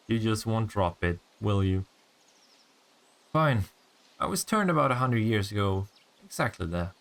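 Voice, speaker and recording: A man's voice: lowish voice, vocal fry, fairly bright tone, full character voice. Man playing a smug, teasing vampire, swooping intonation. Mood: mocking, then boastful, playful. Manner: sardonic, teasing, swearing, drawn-out taunts. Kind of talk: intimate audio roleplay acting.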